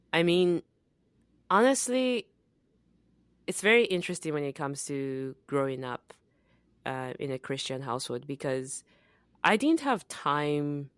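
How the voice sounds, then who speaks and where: A young woman from Zambia co-hosts a casual podcast in a very deep, slow voice. Her tone is smooth and clear, and the room sounds dry. She talks in a reflective, relaxed way, full of fillers and easy laughs. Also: no echo. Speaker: podcast co-host.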